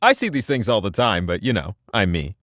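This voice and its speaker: An actor playing a cocky, ancient talking wheel who hosts a video game quiz show with pompous, playful theatrical delivery. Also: male voice, fairly low pitch, wildly swooping pitch, a little fast, a bit bright, no room sound, studio quality. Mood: smug.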